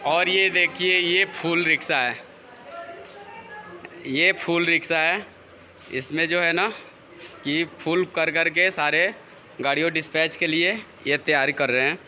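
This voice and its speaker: An Indian man with a fairly high voice talks informally as he shows a workshop around for a vlog. He tends to repeat himself in simple phrases. The handheld phone recording has clear background noise. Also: fairly even intonation, a little slow, long pauses, bright, forceful tone. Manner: informal, repetitive narration. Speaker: vlogger showing around a factory. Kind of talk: casual walk-through vlog commentary. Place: large workshop and its yard.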